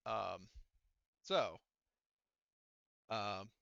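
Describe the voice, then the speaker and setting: A man with a clear, bright voice narrates a tabletop game as its game master. He feels his way through scenes informally, with many hesitations. The sound is close and dry but a little dull, like lo-fi voice chat. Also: on the low side, dry recording. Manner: halting, filler-heavy, informal.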